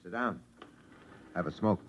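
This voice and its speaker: A man's voice: sits low, measured pace, slight background noise. An actor playing a worldly, unruffled spaceman, a skeptical confidant who talks in an offhand way, in a 1950s radio drama style. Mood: casual skepticism. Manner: laconic, offhand. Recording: dull vintage radio recording.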